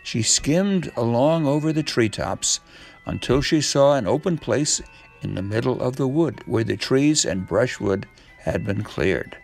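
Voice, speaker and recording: Animated male storyteller with a creaky voice. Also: medium pitch, measured pace, faint music behind, low-quality recording. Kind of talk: scripted children's storybook narration.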